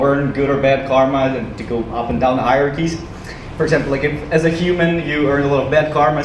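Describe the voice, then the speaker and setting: A man from Ukraine performing stand-up comedy to a live audience with a dry, joking delivery and hesitant pauses. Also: medium pitch. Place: small comedy club stage, live audience. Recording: roomy, noisy club recording from a distance.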